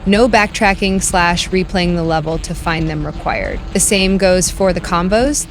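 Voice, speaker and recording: Low-pitched woman narrating a game review.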